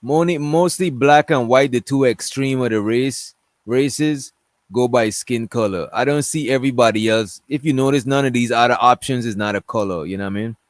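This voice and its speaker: A man's voice: mid-range voice, normal speed, dry recording. A man hosting a casual discussion stream, talking loosely and rambling while he pulls up things on screen. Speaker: stream host.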